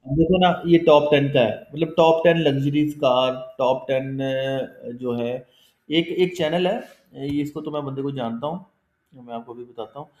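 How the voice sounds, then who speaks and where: Guy teaching a class online, chatty, keeps checking everyone follows, mid pitch, echoey room. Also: unhurried, darkish tone. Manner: conversational, explains step by step with frequent check-ins and fillers. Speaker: instructor. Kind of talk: live online class with screen sharing.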